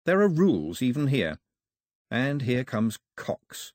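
A man's voice: medium pitch, very animated, slow pace, a little mellow, no echo, clean studio sound. A man voicing a plucky, proper young girl, prim and forthright, in an audiobook story. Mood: wry, uneasy. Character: plucky, proper young English girl, sharp-tongued and questioning.

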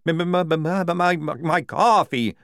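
A man puts on a young soldier for an audiobook. The voice is high and jittery, catching on words in a heavy stammer. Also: sing-song, measured pace, dry recording, clean studio sound. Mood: agitated, accusing. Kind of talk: audiobook character dialogue.

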